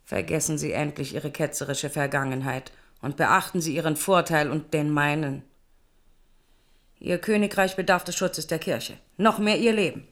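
A woman's voice: low voice, moderate pace. Haughty, scheming queen. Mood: insistent. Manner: haughty, insistent. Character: imperious, scheming queen of Medici family. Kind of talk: German radio play acting.

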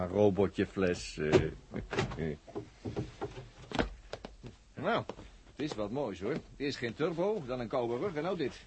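A man's voice: medium pitch, sing-song, slow pace, pauses often. An actor playing a crafty, jovial male technician on a test crew in a 1960s radio drama, chatty and coaxing. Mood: amused, coaxing. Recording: noisy 1960s radio recording.

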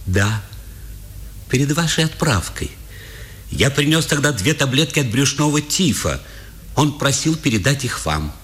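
A man's voice: medium pitch, sing-song, measured pace, long pauses, fairly bright tone. An actor playing an earnest, reserved man with restrained delivery in a 1950s radio drama. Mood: earnest, subdued.

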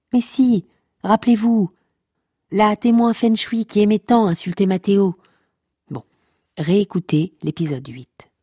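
An actress playing a droll narrator of a comic saga, wry and mock-solemn, speaking straight to the listener. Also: female voice, fairly low pitch, dark tone, breathy edge, no echo, clean studio sound. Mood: chatty, insistent. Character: droll, mock-epic female storyteller of a pasta-cult saga.

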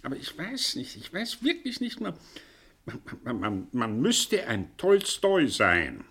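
Husky, sardonic professor. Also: male voice, mid-range voice, huge pitch swings, a bit bright, full character voice. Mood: agitated, earnest. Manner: ironic, erudite, halting when agitated. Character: sardonic, condescending older male professor, worn and proud. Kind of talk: radio play acting.

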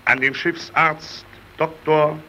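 A man's voice: fairly high pitch, flat delivery, slow, sharp tone, a little hiss or hum, heavily acted, low-quality recording. A man playing a formal reader of official letters in a radio play, precise and solemn. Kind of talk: scripted documentary reading in radio play.

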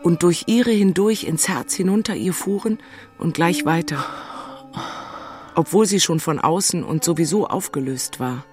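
An actress playing a lovestruck, high-strung city woman in a radio drama, musing and self-mocking with a breathy voice. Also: normal speed, over music, natural voice. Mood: dreamy, bewildered. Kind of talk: radio drama narration, intimate.

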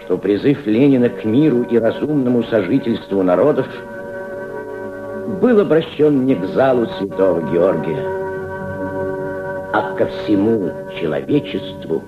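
An actor playing a kindly, thoughtful diplomat in a Soviet radio play, with measured theatrical delivery. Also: male voice, fairly low pitch, expressive. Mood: solemn, oratorical. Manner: theatrical, measured, addresses his companion gently. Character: kindly middle-aged Soviet diplomat, fatherly, thoughtful, resolute. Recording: muffled archival radio drama recording, music behind.

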